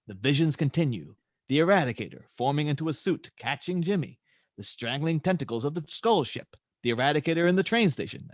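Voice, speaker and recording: A man's voice: a little high, no echo, clean studio sound. A man reading stage directions as the narrator of a dramatic superhero script table read.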